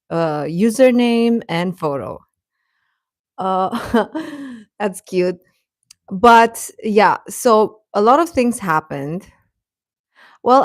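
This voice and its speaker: A woman's voice: medium pitch, dry recording, clean studio sound. A woman hosting a livestream, chatting casually and informally with her viewers. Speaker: livestream host.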